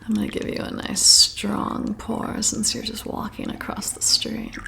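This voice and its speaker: A woman playing a flirty, welcoming vampire bartender in an ASMR roleplay, whispering in a breathy, intimate, coaxing way. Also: mid-range voice, completely flat delivery, a little slow. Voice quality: breathy whisper with creaky edges. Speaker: ASMR performer.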